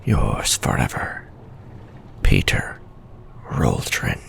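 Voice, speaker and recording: A man whispering as an obsessive gravelly scholar-mage. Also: rumbling low, huge pitch swings, drawn-out words, a little hiss or hum, full character voice. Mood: formal, obsessive. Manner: formal, softly whispered letter-reading. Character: obsessive male scholar-mage writing letters home. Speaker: ASMR storyteller. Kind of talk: whispered ASMR roleplay reading.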